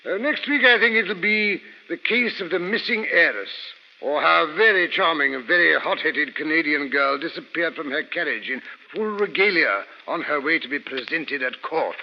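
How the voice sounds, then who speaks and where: A courteous older English gentleman raconteur in a vintage radio play. The voice is gravelly and fairly high, unhurried and genial. The old recording is dull and reverberant. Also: animated. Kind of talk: 1940s radio acting.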